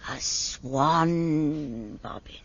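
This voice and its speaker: A woman playing a gentle old serving woman, a tender, coaxing foster mother in a fantasy audio drama. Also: low voice, drawn-out words, no echo, heavily acted. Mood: hushed, wistful. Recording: dull sound, faint background noise.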